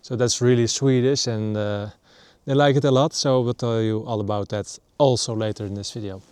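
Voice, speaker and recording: A man in his thirties from the Netherlands, a native Dutch speaker, talking casually to camera in a halting way with many fillers. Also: mid-range voice, steady tone, a little mellow, a little hiss or hum. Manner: halting, many ums and uhs. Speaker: nature vlogger. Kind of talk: casual vlog talk to camera. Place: outdoors in northern Sweden.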